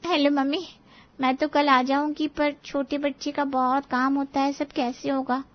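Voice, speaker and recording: A woman's voice: high voice, level tone, normal speed, muffled recording, close to an everyday voice. A woman voicing a demanding young married daughter in a family story, insistent and complaining. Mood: fussy. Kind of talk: Hindi family story dramatization.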